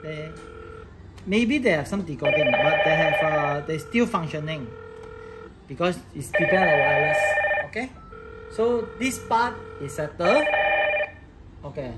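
A man's voice: on the high side, animated, moderate pace, heavy background noise. A man giving an informal hands-on tech tutorial, talking through each step as he works. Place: indoor office workbench with electronic devices.

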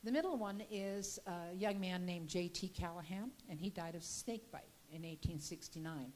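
An older woman with a slightly low voice tells local history in a folksy, chatty way, often restarting her words. She speaks into a handheld mic in an indoor gallery room during a slide presentation. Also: moderate pace, darkish tone, slight background noise.

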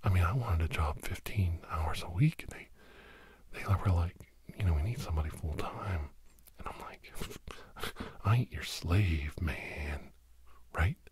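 Middle-aged American male, low and very breathy whisper, halting conspiratorial ASMR podcast rambler, close-miked. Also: darkish tone. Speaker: podcast host. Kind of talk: whispered ASMR comic monologue. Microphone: close-up microphone, monitored on headphones.

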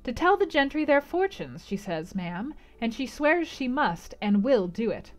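A plain-spoken footman, respectful but blunt as he reports to the gentry, as a woman reader voices him. The delivery is plain and matter-of-fact, with some lively turns. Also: on the high side, measured pace, faint music behind. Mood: deferential.

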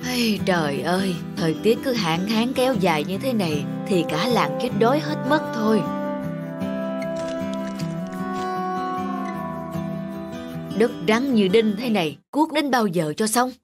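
A woman's voice: mid-range voice, expressive, moderate pace, hardly any pauses, over loud music, natural voice. A woman voicing a poor, pious, superstitious male farmer in a children's cartoon, with a fretful, reverent manner. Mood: weary, worried.

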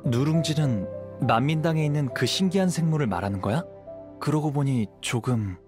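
A man voicing a calm, modest young wandering swordsman in an anime game, soft-spoken and humble. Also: a little high, normal speed, over music, natural voice. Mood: puzzled.

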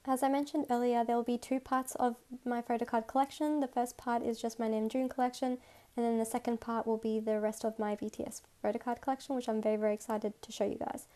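A woman's voice: a little high, level tone, quick pace, warm tone. A woman with a slight cold, narrating a casual voice-over as she shows her collection, chatty and enthusiastic. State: has a cold. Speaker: photocard collector, channel host. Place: recorded separately as voice-over, not in pictured room. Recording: music playing underneath.

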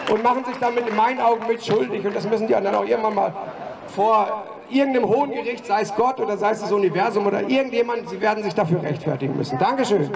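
A man giving a halting protest speech at an open mic on a city street, with many fillers and restarts, speaking into a handheld mic. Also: high-pitched, vocal fry, distant mic, a crowd in the background, background noise. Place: city street outside a broadcast building. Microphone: handheld mic, far camera.

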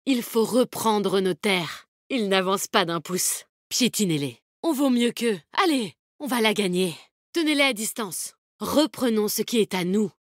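A woman's voice: mid-range voice, lively intonation, a little slow, no echo, studio quality, close to an everyday voice. An actress playing a tough, confident, protective woman fighter in a video game, with a bold, cheeky, commanding manner. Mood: commanding, rallying. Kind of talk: video game character voice lines, combat callouts.

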